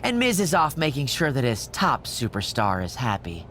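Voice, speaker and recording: A man's voice: medium pitch, wildly swooping pitch, normal speed, a bit bright, slight background noise. A man playing a smug, snide corporate assistant in a wrestling game cutscene, needling with mock politeness. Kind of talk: video game cutscene acting.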